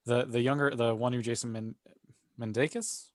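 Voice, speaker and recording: A man's voice: mid-range voice, fairly even intonation, moderate pace, no room sound, clean studio sound. A man co-hosting a casual panel chat podcast, explaining things like an enthusiastic fan. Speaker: podcast co-host.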